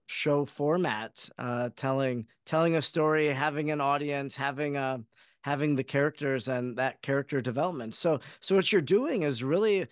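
A man's voice: medium pitch, clean tone, dry recording, studio quality. An American man from Wisconsin hosting a podcast interview in a wordy, conversational way, thinking aloud as he goes. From Wisconsin, United States.

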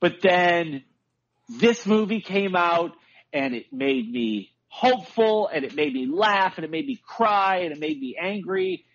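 High-voiced, husky man, swearing enthusiastically. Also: very animated, measured pace, fairly bright tone, lo-fi sound. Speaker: podcast guest, filmmaker. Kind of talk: casual podcast chat.

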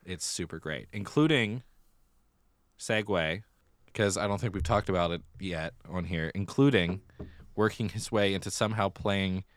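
A man's voice: low-pitched, normal speed, dry recording. A man co-hosting a podcast, chatting casually and emphatically about musical theatre. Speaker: podcast co-host.

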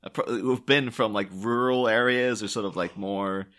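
A man's voice: on the low side, steady tone, normal speed, fairly bright tone. A man with an Irish accent in casual podcast conversation, enunciating carefully as a co-host. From Ireland. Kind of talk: casual chat.

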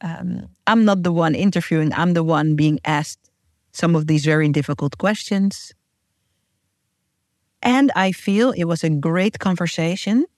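A woman's voice: lowish voice, unhurried, a little mellow, dry recording, clean studio sound. Earnest woman. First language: Dutch. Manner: earnest, heartfelt, emphatic. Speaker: podcast host and guest. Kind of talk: scripted podcast intro and casual chat.

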